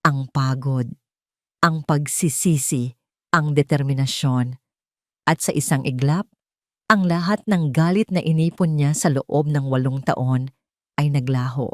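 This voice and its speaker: Low-voiced Filipino woman narrating a story. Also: measured pace, long pauses, dry recording, clean studio sound. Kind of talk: dramatic scripted audio story narration.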